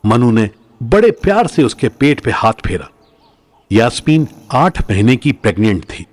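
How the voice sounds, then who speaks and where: A man's voice: medium pitch, breathy edge, no room sound. A man narrating a scripted audio drama in a dramatic storytelling style.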